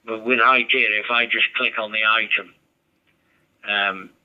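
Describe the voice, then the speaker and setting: A man's voice: lowish voice, steady tone, measured pace. Chatty ham radio man, muffled. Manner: chatty, rambling, advice-giving. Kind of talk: casual radio chat. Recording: dull muffled radio audio.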